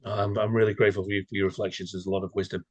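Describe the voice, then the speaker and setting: A man's voice: on the low side, little pitch movement, racing, husky, no echo. A man co-hosting a podcast in casual, reflective chat, earnest and slightly florid in his wording. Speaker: podcast co-host.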